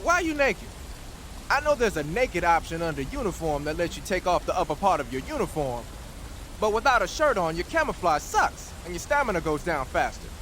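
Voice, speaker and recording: Game support man: high male voice, lively swings, blunt, informal, easily exasperated. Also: fairly quick, a little hiss or hum. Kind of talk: video game voice acting.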